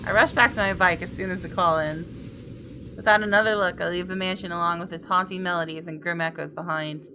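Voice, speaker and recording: A female game streamer with a slightly low voice reads visual novel text aloud. Her delivery is dramatic and playful. Guitar music plays clearly behind her. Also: steady tone, fairly quick, bright, forceful tone, poor audio. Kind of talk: let's play, reading game text aloud with exclamations.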